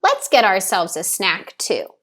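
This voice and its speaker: A woman reading a storybook, voicing a kindly, patient older man in a gentle, reassuring manner. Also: medium pitch, very animated, unhurried, voice pushed down. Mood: cheerful. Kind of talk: storybook read-aloud.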